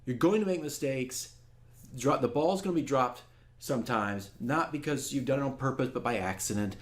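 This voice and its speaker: A man's voice: mid-range voice, moderate pace. A man speaking candidly and casually to viewers as a dungeon master, earnest and self-critical in a conversational way. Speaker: dungeon master giving a campaign diary.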